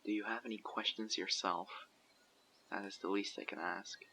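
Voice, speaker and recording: A man voicing a guarded survivor leader who recruits people for a community, asking measured, probing questions in an audio roleplay. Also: on the low side, steady tone, gravelly, bright, forceful tone, slight background noise, low-quality recording. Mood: calm, probing. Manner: measured, probing, matter-of-fact. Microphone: USB condenser microphone.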